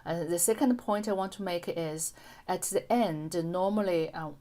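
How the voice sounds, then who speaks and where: A middle-aged woman hypnotherapist answering a listener's question in a calm, gentle way, with frequent ums and restarts. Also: on the low side, moderate pace. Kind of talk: casual explanatory talk to camera.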